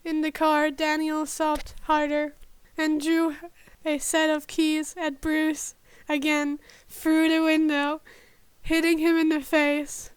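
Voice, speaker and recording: High-voiced woman narrating, slow pace. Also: completely flat delivery, no echo, rough recording. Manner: stumbling over words, self-correcting. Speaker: podfic narrator. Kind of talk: amateur fan fiction narration.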